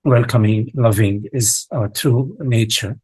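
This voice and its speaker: A man leading a guided meditation as a teacher, speaking in halting short phrases with long contemplative pauses and a husky voice. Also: mid-range voice, darkish tone, dry recording, low-quality recording. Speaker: meditation teacher leading a live online session.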